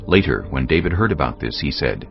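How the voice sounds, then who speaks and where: A man narrating scripture as a dramatic story, measured and solemn. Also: a bass voice, big pitch swings, creaky. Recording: slightly dull sound, music underneath. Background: background music.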